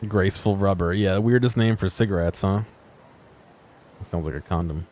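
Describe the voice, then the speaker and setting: A man's voice: sits low, normal speed, a little mellow, a little hiss or hum. A man giving casual gameplay commentary as a streamer, musing aloud and often questioning himself. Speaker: video game streamer.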